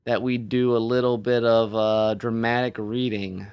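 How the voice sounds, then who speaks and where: A man's voice: mid-range voice, level tone, measured pace, a little mellow, smooth. A man co-hosting a podcast as an author, chatting casually in a rambling, self-deprecating way.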